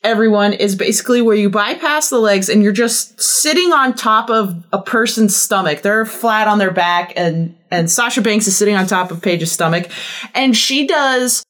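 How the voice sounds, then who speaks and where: A woman's voice: mid-range voice, normal speed, a bit bright. A woman guesting on a podcast, chatting casually and explaining things in plain, enthusiastic terms. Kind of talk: casual chat.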